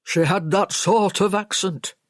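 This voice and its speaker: A woman's voice: medium pitch, a little slow, no room sound, clean studio sound, close to an everyday voice. A woman voicing a plain-spoken, frank male country servant in an audiobook. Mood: matter-of-fact. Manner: folksy, blunt.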